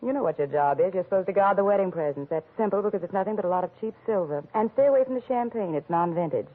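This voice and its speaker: A woman's voice: medium pitch, expressive, racing, natural voice. A woman playing a tart, bitter secretary in a 1940s radio drama, clipped and sarcastic. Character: tart, bitter female secretary, briskly superior. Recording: thin, narrow vintage radio recording.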